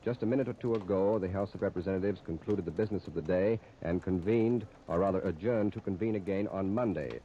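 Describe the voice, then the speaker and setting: A man announcing live radio news in formal, measured 1930s style. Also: low voice. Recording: muffled, noisy 1939 radio disc recording.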